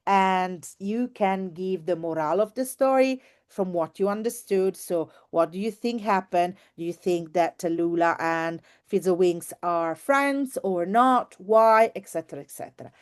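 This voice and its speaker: A woman's voice: fairly low pitch, unhurried, few pauses, smooth. Enthusiastic, effusive woman presenting. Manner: enthusiastic, effusive, expressive, repeats words for emphasis. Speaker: teacher and trainer presenting. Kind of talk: informal online workshop presentation.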